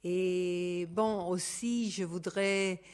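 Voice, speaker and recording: A middle-aged Italian woman speaking French as a second language, opening an academic lecture in a careful, halting way. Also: lowish voice, fairly even intonation, a little mellow, clear, resonant tone. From Italy. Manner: careful, halting, apologetic about her French. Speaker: guest lecturer.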